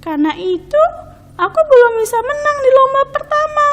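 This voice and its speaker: A woman voicing a humble, hardworking young boy frog with an eager, childlike, expressive delivery in a children's storybook. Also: very high-pitched, normal speed, pitched above the performer's own voice, full character voice. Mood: sad, confessing.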